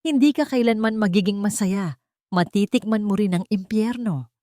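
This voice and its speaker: A woman voicing a furious, contemptuous middle-aged crime-boss father who shouts accusations in an audio drama story. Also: mid-range voice, normal speed, no echo, studio quality, pitched above the performer's own voice, close to an everyday voice. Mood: bitter, cursing.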